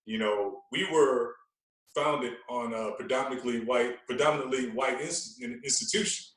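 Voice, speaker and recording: A man speaking as a podcast guest in casual storytelling style, animated and given to repeating himself. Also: mid-range voice, moderate pace, echoey room, far from the mic, poor audio. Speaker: podcast guest, radio personality.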